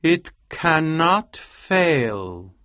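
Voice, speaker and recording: A man's voice: on the high side, sing-song, very slow, pushed and bright, dry recording, voice pushed up, full character voice. A man putting on the voice of an intense, ambitious scientist husband who speaks earnestly, in a storybook reading. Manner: earnest, solemn.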